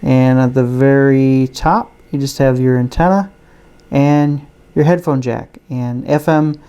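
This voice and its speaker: A man talks through a gadget review in a soft, mellow voice with a rounded tone. He explains each feature plainly and casually, taking his time. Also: mid-range voice, fairly even intonation, a little slow, clear, resonant tone, lo-fi sound. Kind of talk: casual product demonstration.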